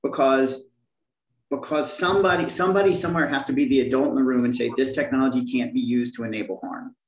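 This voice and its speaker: A man speaking on a panel over a video call with a headset, quick and emphatic, often restarting his sentences. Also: medium pitch, echoey room, distant mic, poor audio. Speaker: panelist, principal scientist. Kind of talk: panel discussion.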